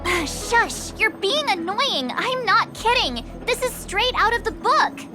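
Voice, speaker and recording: A woman playing an excitable, eager girl who acts as a detective's sidekick in a video game, chatty and dramatic. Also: extremely high pitch, a little slow, over loud music. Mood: excited.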